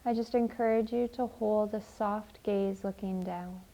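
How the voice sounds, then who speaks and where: Slow, even-toned woman guiding meditation. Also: mid-range voice, low-quality recording. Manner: gentle, measured, deliberate phrasing. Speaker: guided meditation leader. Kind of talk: slow, soothing guided meditation and poem reading.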